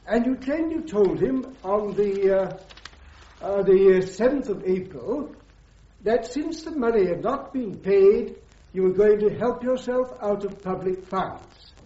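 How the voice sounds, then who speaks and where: High-voiced, exacting court official. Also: male voice, expressive, a little slow, mellow. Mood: formal, questioning. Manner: formal, precise, polite. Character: courteous, exacting court-martial judge advocate. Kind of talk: radio courtroom drama acting. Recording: dull, echoey radio drama recording.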